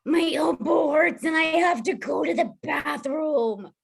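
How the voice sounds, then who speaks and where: A woman playing an eager, chatty young boy scout in an animated film script read-through, earnest and childlike. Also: sits high, unhurried, creaky. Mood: whiny, tired.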